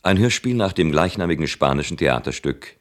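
A male announcer reading the credits for a radio drama. His voice is extremely low, and the delivery is scripted. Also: animated, brisk.

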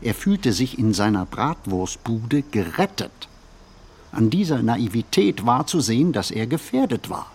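An actor playing a pensive, anxious man who muses on everyday details in a measured radio play monologue. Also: medium pitch, breathy edge, full character voice. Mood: brooding. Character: pensive, anxious male city flaneur musing on everyday details.

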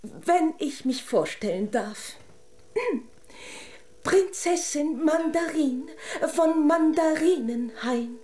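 An actress playing a haughty, imperious princess doll come to life in a children's radio drama. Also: female voice, high voice, animated, takes it slowly, dark tone, reverberant. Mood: grand, admiring. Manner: imperious, grandiose, easily indignant.

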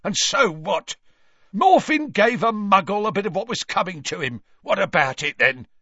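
A man voicing a spiteful, sneering wizard father in an audiobook, given to jeering and shouting. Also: high voice, wildly swooping pitch, measured pace, slightly rough, no echo, highs cut off, pitched above the performer's own voice, exaggerated voice. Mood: snappish.

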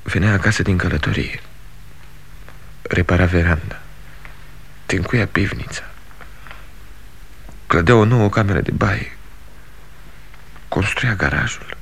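A bitter, gravelly grown son. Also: male voice, sits low, long pauses, a bit bright, slight background noise. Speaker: actor. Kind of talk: 1960s radio drama acting.

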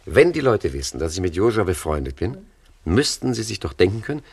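An actor playing a cool, bossy gangster chief in a radio crime comedy, impatient, wry and colloquial. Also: male voice, low-pitched, very animated, a little fast, husky, full character voice. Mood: deliberating.

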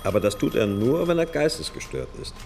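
Deep, brooding, animated police detective. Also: male voice, brisk, a little hiss or hum. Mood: brooding, insistent. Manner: questioning, insistent, thinking aloud. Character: brooding, intuitive male police detective reasoning aloud. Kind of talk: naturalistic German radio crime drama acting.

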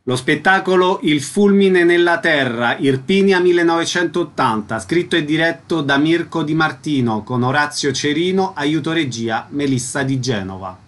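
A man's voice: on the high side, expressive, normal speed, few pauses, a bit bright. A man hosting a radio show, announcing items and putting questions to a guest. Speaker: radio host.

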